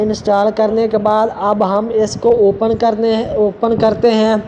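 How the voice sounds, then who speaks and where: A man presents a step-by-step tech tutorial in a high voice that could pass for a woman's. The tone is mellow and he speaks slowly. The recording is dull, with a little noise behind him. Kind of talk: step-by-step app tutorial narration. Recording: dull lo-fi sound, faint background noise.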